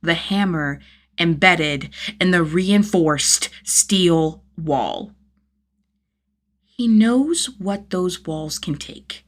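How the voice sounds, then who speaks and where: A woman's voice: fairly low pitch, lively intonation, drawn-out words. A woman narrating fan fiction as a scripted audiobook-style reading, with a dramatic, emphatic delivery. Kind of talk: expressive scripted story narration.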